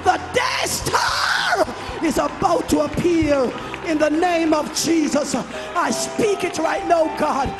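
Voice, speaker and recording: A male preacher delivers a fervent charismatic sermon in a very high, shouted voice. He declaims slowly and repeats phrases for emphasis. Music plays prominently behind him. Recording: live worship service with music and crowd. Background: music and congregation.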